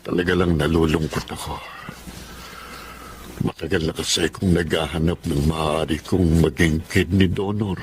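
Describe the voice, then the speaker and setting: A man's voice: deep voice, steady tone, normal speed, lightly acted. An actor playing a sad, ailing wealthy family man in a Filipino radio drama, weary and earnest. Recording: faint background noise.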